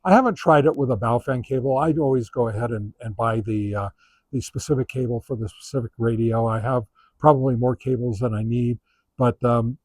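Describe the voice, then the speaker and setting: Husky-voiced man giving a halting gear review. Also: mid-range voice, a little mellow, no echo. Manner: halting, pausing mid-sentence. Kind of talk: casual product review monologue.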